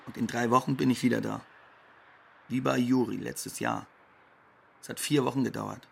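A man's voice: mid-range voice, fairly even intonation, moderate pace, creaky, slightly rough. An actor playing a wry, defiant undocumented Ukrainian migrant man, speaking a blunt, sardonic monologue in conversational style.

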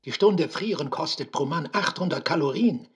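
A male narrator puts on a stern, barking man in authority for a literary radio drama. The voice sits fairly high and is husky, with a sharp, reproachful delivery. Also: animated, moderate pace. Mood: snapping, stern. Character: brusque commanding man, snapping at guards.

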